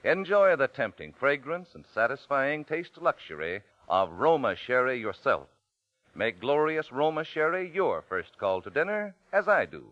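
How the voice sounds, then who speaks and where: An American man reading a sponsor's commercial as a 1940s radio announcer, polished and measured in his salesmanship. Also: medium pitch, sing-song, a bit bright, no room sound. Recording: muffled vintage 1940s radio recording.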